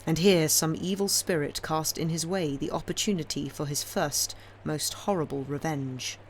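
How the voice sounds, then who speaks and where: A woman reading classic fiction aloud as an audiobook narrator, in a measured, expressive, dramatic storytelling style. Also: sits low, slight background noise. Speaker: audiobook reader.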